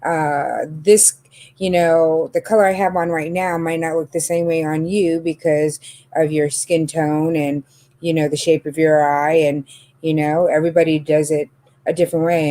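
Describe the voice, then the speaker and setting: Chatty fifty-year-old woman, mellow voice. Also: low-pitched, level tone, moderate pace. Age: 50s. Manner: chatty, lots of fillers and laughs. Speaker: channel host. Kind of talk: casual chat about makeup.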